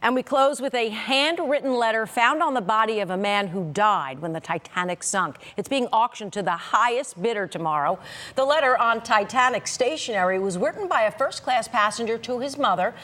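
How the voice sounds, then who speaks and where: A woman's voice: a little high, animated, normal speed, fairly bright tone. An American woman anchoring a live local newscast in an informal, chatty way. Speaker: TV news anchor.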